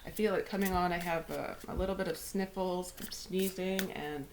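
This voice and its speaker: A woman with a head cold and a slightly raspy throat, hosting and talking through a weekly tarot card reading in a soft, unhurried way. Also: fairly low pitch, fairly even intonation, slight background noise. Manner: soft, unhurried, with whispered invocations. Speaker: tarot reader.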